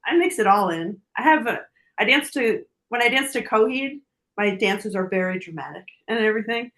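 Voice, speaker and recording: A woman's voice: medium pitch, animated, normal speed, fairly bright tone. Giggly woman in her forties chatting casually. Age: 40s. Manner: giggly, laughs often while talking. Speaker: podcast guest, dancer and influencer. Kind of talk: casual chat.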